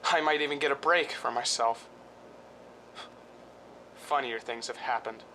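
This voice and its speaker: Man acting brooding, volatile husband, bright-edged voice. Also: a little slow. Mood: resigned, yearning. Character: handsome, weak, violent-tempered husband, a former lifeguard. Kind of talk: 1940s-style suspense radio drama acting. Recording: noisy recording with low rumble.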